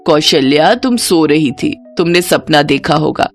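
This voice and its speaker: Woman voicing a slow, low dismissive mother-in-law. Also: expressive, faint music behind. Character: dismissive middle-aged mother-in-law defending her bride.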